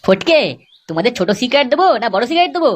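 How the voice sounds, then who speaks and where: Performer doing a whiny village fellow, fairly high voice, quick, complaining, comic cartoon, faint noise. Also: sing-song. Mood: placating. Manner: complaining, colloquial.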